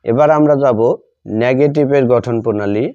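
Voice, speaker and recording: A man with a Bengali accent teaching a grammar lesson step by step, halting and repeating phrases in short fragments as he writes. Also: mid-range voice, dark tone. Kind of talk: step-by-step grammar lesson, spoken while writing. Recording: dull lo-fi sound.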